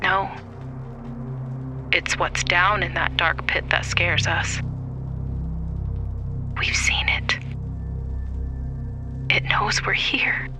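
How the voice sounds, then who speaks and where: Man acting as haunted, halting deep-sea survivor. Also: steady tone, over music, full character voice. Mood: terrified. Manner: halting, fragmented, dread-filled delivery. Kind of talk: audio horror drama acting.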